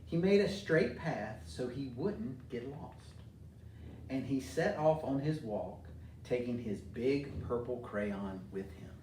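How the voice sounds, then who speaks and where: Middle-aged American man, children's story-time speaker, medium pitch, recorded at a distance with faint noise. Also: lively intonation, slow pace, a little mellow. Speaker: mayor reading to children. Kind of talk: informal talk and storybook read-aloud for children. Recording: roomy echo, distant mic, faint noise.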